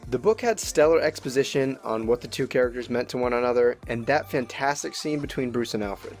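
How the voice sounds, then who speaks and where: A man's voice: medium pitch, fairly quick, darkish tone. A man giving a scripted, opinionated review as a show host, with conversational asides. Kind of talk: scripted narration, review commentary. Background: music.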